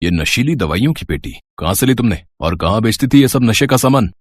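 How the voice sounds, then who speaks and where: A man's voice: fairly low pitch, lively intonation, a little fast, dry recording, studio quality. A man voicing an accusing police inspector with a commanding, sneering manner.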